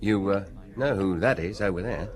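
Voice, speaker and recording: A man's voice: low voice, normal speed, natural voice. An actor playing an easygoing, chatty barman in a radio drama, informal and obliging. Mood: gossipy.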